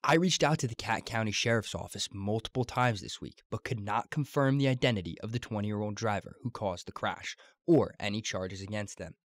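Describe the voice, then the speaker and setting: A man's voice: lowish voice, brisk, hardly any pauses, no echo, clean studio sound. A young American man delivering broadcast news as a student TV reporter, in a formal announcer-like manner. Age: young adult.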